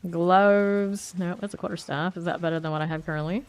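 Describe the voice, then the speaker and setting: A woman's voice: on the low side, steady tone, brisk, clear, resonant tone. Congested woman thinking aloud while gaming. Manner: thinks aloud, chatty and self-questioning. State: congested and sniffly from a cold. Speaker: streamer. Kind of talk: casual gameplay commentary.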